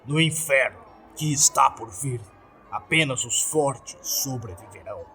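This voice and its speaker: A man's voice: mid-range voice, lively intonation, unhurried, sharp tone. A man with a Brazilian accent voicing a suave, menacing, grandiose cult leader in a horror story. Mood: grandiose menace. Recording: background music under the voice.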